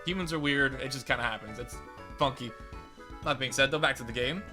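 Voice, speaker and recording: A young man commentating a video game playthrough in a chatty, rambling way, his voice slightly raspy and crackly today. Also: mid-range voice, brisk, bright, forceful tone, soft background music. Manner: rambling, chatty, self-correcting. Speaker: let's play host.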